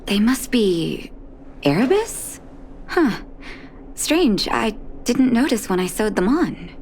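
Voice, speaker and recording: An actress playing a weary, caring carer of children in a video game, measured and gently scolding, exasperated yet fond. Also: female voice, on the high side, big pitch swings, slight background noise, full character voice. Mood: puzzled, curious. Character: weary, caring adult woman, doctor and orphanage carer. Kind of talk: video game character acting.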